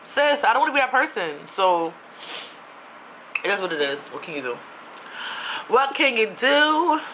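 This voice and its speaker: A woman's voice: a little high, normal speed, background noise. A young woman from New York, ranting casually on her own vlog in a chatty, slangy way. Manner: chatty, animated, with slang and asides. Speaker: YouTuber.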